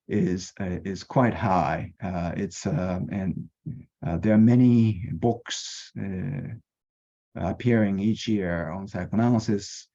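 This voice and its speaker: A middle-aged man from Japan with a Japanese accent, presenting himself as a board candidate on a webinar, pausing often with many fillers. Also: sits low, gravelly, dark tone, husky. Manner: hesitant, many pauses and fillers. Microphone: video call. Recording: dull lo-fi video call audio.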